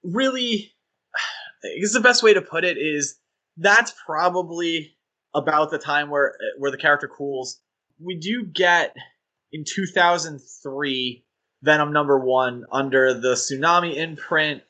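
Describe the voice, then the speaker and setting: Podcast co-host, male, bright and a bit high, easygoing and enthusiastic, lots of fillers. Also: a little slow, poor audio. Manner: enthusiastic, rambling with fillers and laughs. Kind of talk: casual chat.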